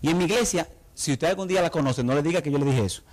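A man's voice: a little high, rapid-fire, darkish tone. A middle-aged man from the Dominican Republic with a Dominican accent, preaching a sermon and acting out the voices of the people he quotes. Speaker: pastor.

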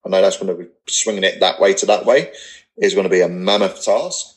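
Male podcast guest, 30s, low voice, everyday non-posh English accent, earnest and blunt, loose conversational delivery. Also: fairly quick, low-quality recording. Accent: English, not posh. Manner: earnest, rambling, blunt with swearing. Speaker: podcast guest, personal trainer business owner. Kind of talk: casual podcast interview, candid talk.

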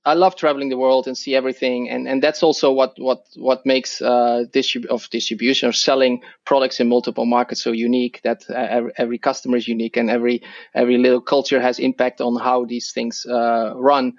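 A middle-aged man with a Dutch accent, a podcast guest who speaks English as a second language and talks haltingly with many restarts and fillers. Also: medium pitch, flat delivery, dry recording, muffled recording. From Netherlands. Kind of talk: podcast interview.

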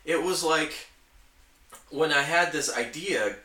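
Guy on a podcast nerding out, bright voice, roomy and kinda far from the mic. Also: fairly high pitch, takes it slowly. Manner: enthusiastic, nerdy explaining. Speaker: podcast host. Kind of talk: casual hobby chat. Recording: roomy, echoey, distant from mic.

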